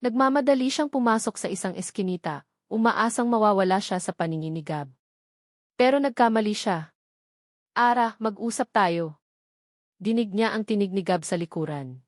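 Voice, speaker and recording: A woman narrates a scripted love story as a dramatic storyteller. Her delivery is emotive and theatrical, pitched in a middle female range. The sound is dry, with hardly any room on it. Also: lively intonation, fairly quick, dry recording.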